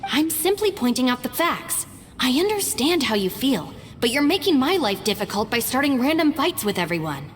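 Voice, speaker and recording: A woman's voice: high voice, big pitch swings, moderate pace, slight background noise. A woman playing a sensible, long-suffering young gang deputy in a video game, polite and matter-of-fact. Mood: resigned. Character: sensible young female deputy of a gang boss, exasperated, level-headed. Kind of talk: animated video game voice acting. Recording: long reverb with game music behind.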